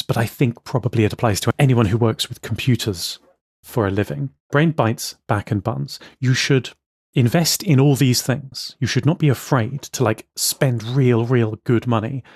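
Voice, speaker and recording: A man's voice: mid-range voice, vocal fry, a little mellow, dry recording. A man co-hosting a casual podcast, opinionated and wry, with dry sarcasm in how he talks. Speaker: podcast co-host, programmer.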